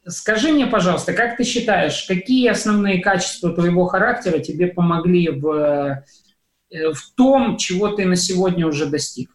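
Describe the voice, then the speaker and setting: High-voiced male radio co-host, echoey. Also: normal speed. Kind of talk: radio interview questions.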